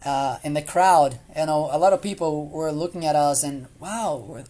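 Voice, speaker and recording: A man's voice: on the high side, moderate pace, warm tone. Male guest, Brazilian Portuguese accent, non-native English, hesitant friendly chat, lots of fillers. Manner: hesitant, many fillers and repeated words.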